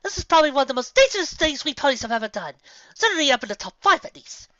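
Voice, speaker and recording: Here is a refined lady pony, played by a woman reader, high-pitched and swooping. She is theatrical and eloquent, a sincere storybook character with a bright edge. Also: sing-song, rapid-fire, dry recording, highs cut off. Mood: heartfelt, emphatic.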